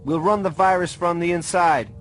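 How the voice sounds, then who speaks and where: A man's voice: high-pitched, normal speed, a bit bright, faint music behind. A man playing a terse, edgy young hacker in a radio drama. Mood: tense, businesslike.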